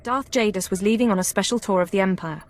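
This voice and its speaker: An actress playing a young, efficient intelligence analyst in a video game, with a clipped, precise, earnest manner. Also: female voice, mid-range voice, quick pace, natural voice. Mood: sober.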